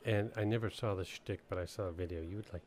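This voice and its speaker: Husky low-voiced male interviewer. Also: fairly even intonation, quick pace. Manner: quiet, encouraging back-channel prompts. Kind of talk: casual interview questions.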